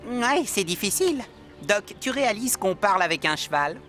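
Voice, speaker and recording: A woman's voice: medium pitch, very animated, moderate pace, a bit bright, faint music behind. A woman voicing a curious, plucky young animal adventurer in a French cartoon dub. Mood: puzzled.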